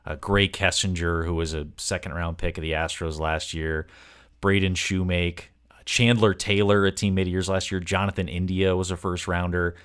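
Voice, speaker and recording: A man with a low, deep voice hosts a sports interview podcast. He speaks conversationally and at length, building up wordy questions piece by piece. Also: a bit bright. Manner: wordy, long multi-part questions.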